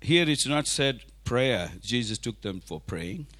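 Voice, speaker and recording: A man whose first language is Tamil, preaching a sermon in English in a church, speaking in halting phrases with restarts for an interpreter. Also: medium pitch, lively intonation, a bit bright. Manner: halting, restarts, rising intensity.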